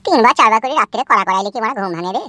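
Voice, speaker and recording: A man's voice: high-pitched, lively intonation, rapid-fire, pushed and bright, dry recording, exaggerated voice. A man voicing a grumpy, foul-mouthed village husband in a comedy cartoon, grumbling and swearing. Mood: irritated.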